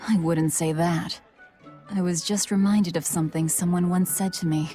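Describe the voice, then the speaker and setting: A woman's voice: on the low side, steady tone, normal speed, warm tone, soft background music, close to an everyday voice. A woman playing a cold, pragmatic, guarded young female fighter in dubbed game dialogue, terse and detached. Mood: reserved, curious.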